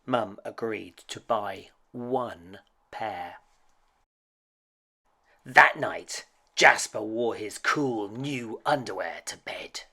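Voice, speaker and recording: A man narrates a children's storybook at an unhurried pace. His voice is husky and a little creaky, and it rises and falls theatrically as he tells the tale. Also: lowish voice. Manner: dramatic, expressive, with hushed suspenseful dips. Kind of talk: animated picture book read-aloud.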